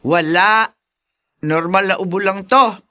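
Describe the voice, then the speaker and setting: A woman's voice: mid-range voice, slow, pushed and bright, no room sound. A woman playing a proud, protective elderly grandmother in a Filipino radio drama, speaking with a Filipino accent. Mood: wistful, stubborn. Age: older.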